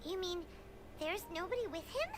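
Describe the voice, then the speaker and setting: A woman voicing a tiny, childlike fairy companion in a cartoonish video game, squeaky, anxious and excitable. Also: moderate pace, crowd noise. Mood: alarmed, puzzled.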